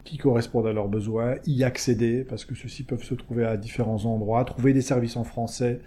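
A man's voice: medium pitch, steady tone, a little fast, mellow. A man answering interview questions as a community organization manager, hesitant, with frequent fillers, restarts and tag words. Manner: tags phrases with "hein".

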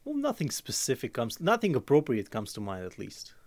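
A man's voice: medium pitch, wildly swooping pitch, a little fast. A man from Croatia, a podcast guest in marketing, chatting easily with dry humor and frequent laughs. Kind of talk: casual chat.

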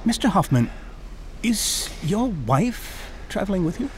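Seasoned ship's officer, expressive range. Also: male voice, high voice, a little slow, slight background noise. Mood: concerned, reflective. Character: seasoned ship's officer, courteous, reserved, steady. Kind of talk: period audio drama acting.

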